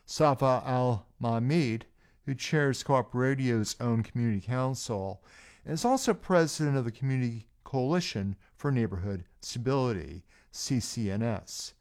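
A man's voice: mid-range voice, lively intonation, moderate pace, a little mellow. A man hosting a community radio interview in a station studio, introducing guests and reading station notices in an announcer-like way. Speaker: radio host.

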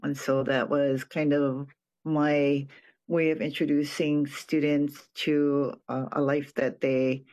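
An older man from Hawaii, a retired professor, giving a reflective personal account with frequent pauses and restarted phrases. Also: a little high, little pitch movement, darkish tone. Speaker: retired professor and nonprofit board president.

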